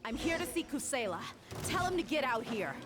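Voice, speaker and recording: A woman's voice: high-pitched, very animated, fairly quick. A woman voicing a tough, confident female mercenary in an anime game, blunt and commanding. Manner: blunt, threatening, assured. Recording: game music behind the voice.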